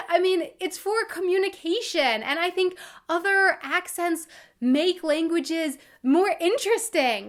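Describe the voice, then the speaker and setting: Chatty young American woman vlogging. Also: sits high. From United States. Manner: chatty and expressive, laughs easily. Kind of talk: casual vlog talk to camera.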